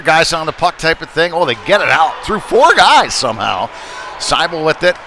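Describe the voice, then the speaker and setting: A man giving live play-by-play of an amateur hockey game in an informal, folksy, conversational way. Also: medium pitch. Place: indoor ice rink during a live game. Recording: noisy rink ambience behind voice. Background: clear rink and game noise.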